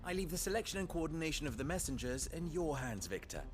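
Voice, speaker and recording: A man playing a composed, authoritative town leader in a video game, speaking in formal, measured phrases. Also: fairly high pitch, very animated, music in the background. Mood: grave, resolute. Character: composed adult male town leader rallying defenders, authoritative. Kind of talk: dramatic video game dialogue.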